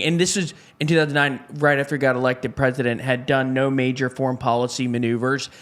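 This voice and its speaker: A man's voice: medium pitch, fairly bright tone. A man hosting a casual news discussion show, asking his co-host questions and adding wry asides.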